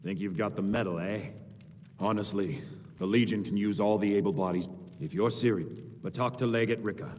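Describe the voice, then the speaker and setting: An actor playing a dutiful, confident legion soldier in a fantasy game, speaking with formal assurance. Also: male voice, lowish voice, normal speed, a little mellow, lightly acted. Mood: assured. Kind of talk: video game character dialogue. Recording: distant, long hall reverb, music behind.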